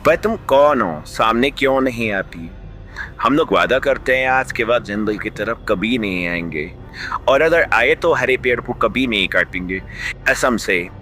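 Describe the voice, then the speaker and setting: Expressive village farmer acted by a man. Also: mid-range voice, unhurried. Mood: frightened. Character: two earnest village farmer friends, adult men. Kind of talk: cartoon story dialogue. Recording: music behind the voice.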